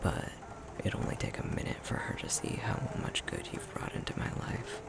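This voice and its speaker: A man playing a tender, self-conscious young fox-shifter boyfriend in an intimate ASMR roleplay, speaking in a soft, breathy, halting murmur. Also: heavy background noise, lightly acted. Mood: fond, soft. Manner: unhurried, halting, self-deprecating chuckly storytelling.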